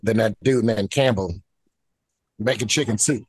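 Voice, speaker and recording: A man co-hosting a podcast, hoarse from the flu, rambling and swearing as he tells stories. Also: lowish voice, normal speed, dry recording. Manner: rambling storyteller, profane. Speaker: podcast co-host. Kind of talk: casual podcast banter.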